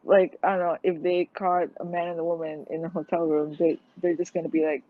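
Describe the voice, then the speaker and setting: A woman's voice: lowish voice, flat delivery, fairly quick, dry recording. A young woman from Malaysia in a casual interview, talking earnestly and hesitantly with many fillers and restarts. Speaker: guest. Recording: tinny phone-like line, dry.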